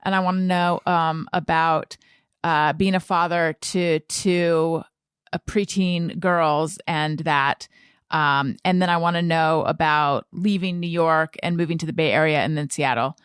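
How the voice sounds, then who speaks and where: Woman, podcast host, informal chatty delivery, slightly low voice, rephrasing as she goes, very dry sound. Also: steady tone, measured pace, clear, resonant tone, no echo. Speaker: podcast host interviewing a guest. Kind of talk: casual chat.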